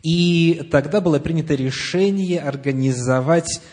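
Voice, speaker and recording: A man's voice: fairly high pitch, moderate pace, a little mellow. A man interpreting a church address live, phrase by phrase, with some hesitation. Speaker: consecutive interpreter.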